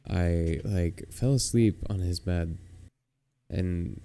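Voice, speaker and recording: A man's voice: low-pitched, slow, warm tone. A young American man co-hosting a podcast in casual chat, rambling and hesitant, often putting himself down. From United States. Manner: hesitant, rambling, self-deprecating. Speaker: podcast co-host.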